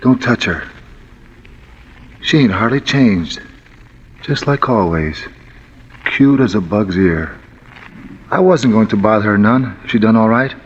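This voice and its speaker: A man's voice: fairly low pitch, animated, slow pace, pauses often, big-room sound. An actor playing a hulking, simple, lovesick ex-convict with slangy, ungrammatical tough-guy talk in 1940s radio acting style. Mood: grieving. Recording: dull, noisy vintage radio recording.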